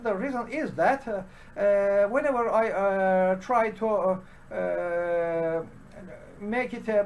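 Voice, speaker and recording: A man's voice: sits high, a little hiss or hum. Hesitant man with a Turkish accent. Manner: halting, heavy with "uh" fillers and restarts. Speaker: programming channel host. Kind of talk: unscripted solo commentary.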